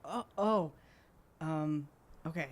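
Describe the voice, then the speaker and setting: A man's voice: on the high side, slow pace. A man playing a tabletop game casually in character, with an earnest, hesitant way of talking. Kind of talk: casual in-character role-play.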